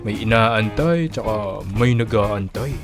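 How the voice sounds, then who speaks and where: A man's voice: fairly low pitch, a little slow, over music. A man acting a playful young office buddy in a Filipino audio drama, coaxing and teasing with a Filipino accent. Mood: cajoling.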